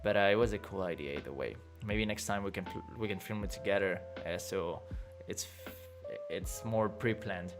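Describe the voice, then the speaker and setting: A man's voice: deep voice, normal speed, over music. Rambling young man chatting on stream. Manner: rambling and loose, laughs often, swears casually. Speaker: livestream host reading chat. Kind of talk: casual chat.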